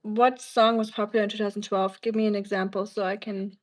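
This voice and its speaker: A woman's voice: medium pitch, little pitch movement, brisk. A woman from Germany with a German accent, chatting casually on a livestream and answering viewers in a rambling, teasing way.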